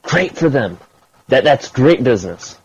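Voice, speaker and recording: A man's voice: medium pitch, very animated, measured pace, gravelly, slightly rough, no echo. A man chatting casually in a repetitive, sceptical way over a lo-fi voice call. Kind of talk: casual chat. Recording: dull lo-fi call audio.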